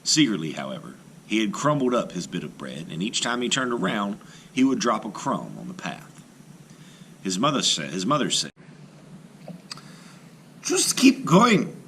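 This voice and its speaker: A man, a teacher, reading a folktale aloud to children in an expressive, animated storytelling manner. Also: on the low side, normal speed, a little hiss or hum.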